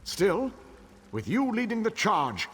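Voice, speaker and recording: An actor playing a noble, solemn king who rallies his allies in measured, formal speech in a fantasy game. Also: high voice, wildly swooping pitch, noisy background, full character voice. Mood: grave, rousing. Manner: stately, measured, formal oratory. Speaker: war leader king. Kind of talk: dramatic fantasy game dialogue. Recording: long hall reverb.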